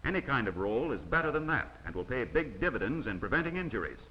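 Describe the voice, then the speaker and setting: A man's voice: medium pitch, big pitch swings, fast talker, slight background noise. Man narrating a dull-sounding old training film. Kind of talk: scripted instructional film narration.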